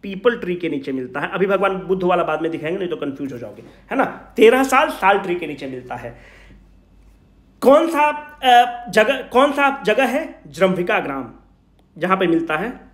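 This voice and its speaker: A man's voice: high voice, very animated, normal speed, a bit bright. A man with a Bihari Hindi accent lecturing informally as an exam coach, emphatically asking questions, answering them himself and repeating key points in colloquial speech. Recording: echoey room.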